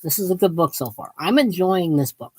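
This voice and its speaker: Low-voiced rambling woman in her fifties. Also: expressive, brisk, a little mellow, a little hiss or hum. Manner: self-deprecating, opinionated, digressive, sighing. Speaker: book vlogger. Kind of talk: casual rambling book talk to viewers.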